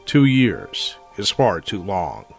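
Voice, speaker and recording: A man's voice: on the low side, sing-song, slow, gravelly, exaggerated voice. A man performing as the solemn narrator of a science fiction audio drama, reading in a dramatic scripted style. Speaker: narrator, announcer. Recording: music behind the voice.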